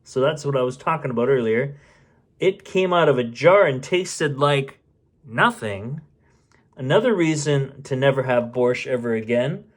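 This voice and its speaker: A man's voice: mid-range voice, animated, normal speed. A man reading a storybook aloud expressively, with casual muttered asides as he goes. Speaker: bedtime story reader.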